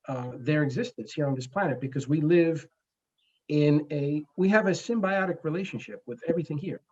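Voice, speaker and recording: The voice is a man's in his forties, pitched a little high, on a slightly muffled, lo-fi recording. He grew up in the Bronx with Spanish as his first language. He talks like an interview guest, earnest and halting, often pausing mid-phrase to find the next word. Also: darkish tone, breathy edge. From the Bronx, New York, United States. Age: 40s. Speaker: guest, comic book creator. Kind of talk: conversational interview.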